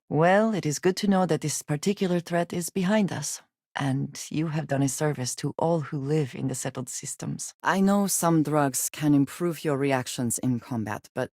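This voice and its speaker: A woman's voice: low-pitched, darkish tone, no echo. Guarded, solemn space adventurer woman, dry sound. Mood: earnest, composed. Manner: formal, measured diction with few contractions. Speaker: video game voice actress. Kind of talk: scripted game character voice lines.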